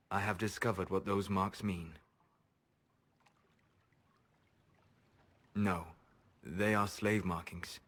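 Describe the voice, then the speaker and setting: An actor playing a grave, gentle elven mage in a video game, speaking softly in measured, formal, solemn phrases with a melancholy wisdom. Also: male voice, low voice. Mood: grave, explaining. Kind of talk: dramatic video game acting.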